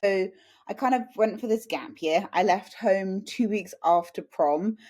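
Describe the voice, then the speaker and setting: A woman's voice: mid-range voice, measured pace, darkish tone. An English woman in her late twenties, chatting and telling anecdotes as an interview guest. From UK, small town in Suffolk. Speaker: podcast guest. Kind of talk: conversational interview.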